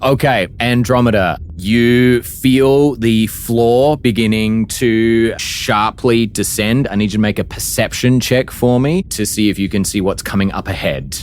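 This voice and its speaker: A man's voice: medium pitch, unhurried, hardly any pauses, slight background noise. A man running a tabletop roleplaying game, describing scenes vividly to the players as game master.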